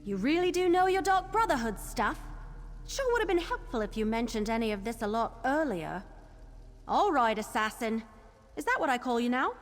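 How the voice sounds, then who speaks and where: An impatient female investigator from a fantasy game. Her voice is high and very lively, with a teasing, sarcastic edge. It rings with long reverb. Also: moderate pace. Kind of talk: video game character dialogue. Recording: long hall reverb, music underneath.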